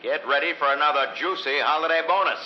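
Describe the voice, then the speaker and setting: An actor playing a greedy, breezy American plant boss in an old-time radio drama, brash and dismissive. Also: male voice, fairly high pitch, fast, highs cut off, a little hiss or hum, natural voice. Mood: smug, breezy.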